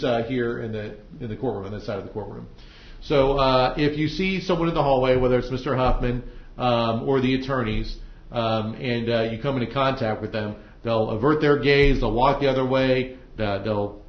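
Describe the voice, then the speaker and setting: A male judge talks briskly through procedure in a colloquial, plain-spoken way. He explains step by step to jurors and attorneys. The sound is roomy and somewhat dull, as from a courtroom recording. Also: medium pitch. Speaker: judge presiding over a trial. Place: indoor courtroom.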